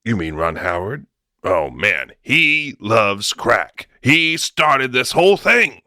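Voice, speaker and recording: A man playing an eager, slow-witted movie studio man brainstorming in a comic radio sketch. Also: mid-range voice, very animated, bright, forceful tone, dry recording, exaggerated voice. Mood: casual brainstorming. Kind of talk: comic audio sketch acting.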